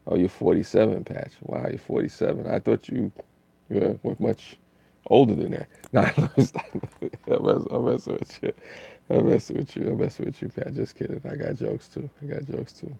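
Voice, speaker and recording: A man's voice: medium pitch, animated, normal speed, warm tone, dry recording. A tired man, hosting a laid-back live stream and rambling through chat comments. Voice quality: raspy.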